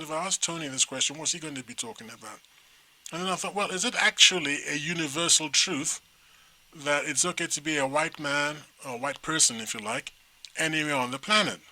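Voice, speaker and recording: A middle-aged man from Nigeria co-hosting a talk show, chatting in a rambling, animated way with many restarts. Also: a little high, brisk, low-quality recording. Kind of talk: casual chat.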